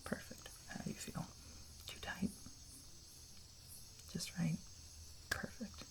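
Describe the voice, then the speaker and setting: An ASMR roleplayer playing a kind, reassuring camper, whispering in a hushed, soothing way and gently checking in. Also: slow pace. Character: kind, reassuring camper looking after a stranger.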